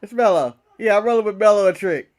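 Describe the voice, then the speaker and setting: A man's voice: sits high, lively intonation, fast talker. An American man co-hosting a casual podcast, bantering and joking, often saying a phrase twice. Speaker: wrestling podcast co-host.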